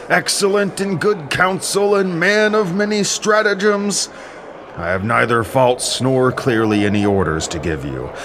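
A man's voice: mid-range voice, creaky, voice pushed up. Guy doing a grand bossy ancient greek king, audio drama speechifying, outdoor noise behind. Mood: conciliatory. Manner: declamatory, rhetorical. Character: haughty commanding Greek warlord king, scolding and grandiose. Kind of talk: audio drama epic acting.

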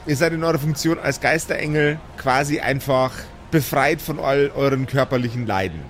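A man's voice: mid-range voice, moderate pace, soft background music. A young man acting as game master in an improvised audio play, narrating animatedly with frequent restarts. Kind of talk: improvised narration and table chat.

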